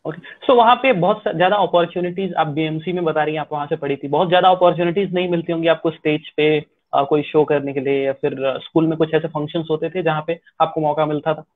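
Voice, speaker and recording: A man's voice: fairly high pitch, fairly even intonation, quick pace, few pauses, dry recording, narrow, tinny audio. A man hosting an interview, asking friendly, chatty questions and mixing in English phrases. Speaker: interview host.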